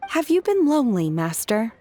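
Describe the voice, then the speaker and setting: A woman voicing a reserved, devoted young disciple in a video game dub, measured, formal and earnest. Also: fairly high pitch, sing-song, warm tone. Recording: music under the voice. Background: music.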